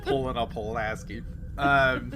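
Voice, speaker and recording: A man's voice: medium pitch, steady tone, unhurried, over a noisy crowd. A man acting as game master, talking casually and jokingly with players as he guides a tabletop game. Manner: jokey, laughs easily. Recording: noticeable background noise.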